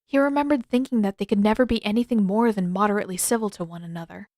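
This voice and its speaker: A woman's voice: mid-range voice, fast, darkish tone, no room sound, studio quality. A woman reading scripted fiction as an audiobook narrator.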